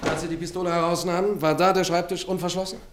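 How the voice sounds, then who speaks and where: An actor playing an insistent police sergeant in a radio drama, questioning in a commanding, probing and deliberate manner. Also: male voice, high-pitched, little pitch movement, background noise, natural voice. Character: brisk, insistent male police sergeant interrogating a suspect. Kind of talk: German radio drama acting.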